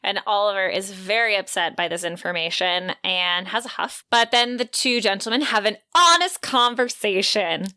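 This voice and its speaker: Clear, bright woman recapping a book. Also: mid-range voice, moderate pace, few pauses, dry recording. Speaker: podcast co-host. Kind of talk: book recap with read-out quotes.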